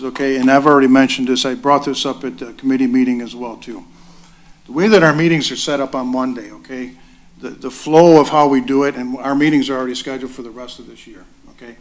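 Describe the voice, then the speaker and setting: A man chairing a school board meeting, explaining at length and often adding "okay". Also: mid-range voice. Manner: rambling, repeats "okay".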